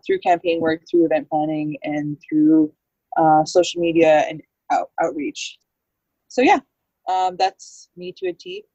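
A woman's voice: sits low, warm tone, no room sound, dull audio. A Canadian woman speaking casually and unscripted as a guest co-host on a podcast. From Manitoba, Canada. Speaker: guest co-host, community coordinator.

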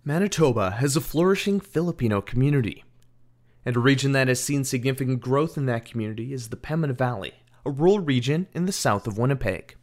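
A male radio host speaks calmly and evenly as he asks his guests questions and reads scripted introductions. His voice is of ordinary middle pitch for a man. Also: fast. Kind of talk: interview and scripted narration.

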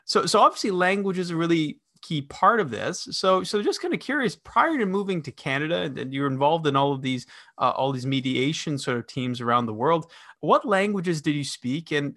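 A man's voice: on the high side, expressive, fairly quick, hardly any pauses, bright, forceful tone. A man interviewing a guest, repeating words and restarting as he asks questions. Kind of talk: interview conversation.

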